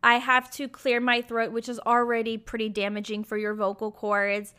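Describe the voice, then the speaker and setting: A young woman talks on her solo podcast in a candid, chatty way with casual fillers. Her voice is raspy and a bit hoarse, yet bright and clean in tone. It sits a little high for a woman. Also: completely flat delivery, moderate pace. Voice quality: raspy, sometimes croaky. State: hoarse from acid reflux. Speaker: podcast host.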